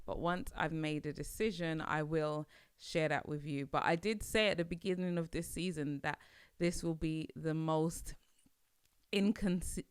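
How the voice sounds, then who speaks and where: A woman with a low, smooth, resonant voice hosts her own podcast, chatting casually and musing aloud with frequent restarts. She sounds young and relaxed. The recording is dry and close. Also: measured pace, no echo. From Nigeria. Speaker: podcast host. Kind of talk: casual solo podcast chat.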